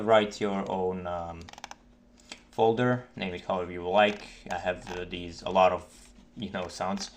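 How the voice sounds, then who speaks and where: A man with a low voice explains game modding in a casual tutorial. He talks slowly and haltingly, stopping often between short phrases. Light background noise and keyboard clicks sit behind him. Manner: halting, hesitant explaining with many pauses. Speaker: tutorial narrator for game mods. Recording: noisy desk mic, keyboard clicks. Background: keyboard typing and mouse clicks.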